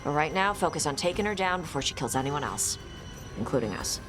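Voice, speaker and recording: An actress playing a blunt, guarded young dragon hunter in a video game, speaking in terse, casual phrases. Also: female voice, sits low, very animated, a little fast. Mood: focused, blunt. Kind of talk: video game cutscene acting. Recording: music behind the voice.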